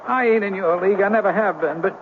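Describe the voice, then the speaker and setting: Man as a high-voiced, folksy young sidekick. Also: quick pace, lightly acted. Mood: weary, apologetic. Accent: American. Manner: folksy, hesitant, deferential. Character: plain-spoken, weary young male sidekick of a swindler. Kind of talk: old-time radio drama acting. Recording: noisy, tinny vintage radio broadcast.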